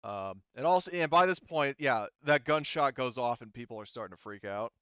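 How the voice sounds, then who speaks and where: A man's voice: medium pitch, expressive, normal speed, pushed and bright, smooth, no room sound, dull audio. A man running a tabletop game as game master, casually narrating turns and rules, hesitantly thinking aloud as he goes.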